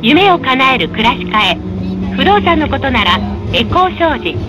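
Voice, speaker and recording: High, lilting woman reading on-board adverts. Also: sing-song, normal speed. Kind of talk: scripted advertising announcements. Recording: noisy in-vehicle recording with tram rumble. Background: tram running noise.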